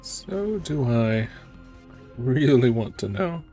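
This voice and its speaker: Casual male game streamer, medium pitch. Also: big pitch swings, slow, warm tone, soft background music. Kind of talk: casual live game reactions.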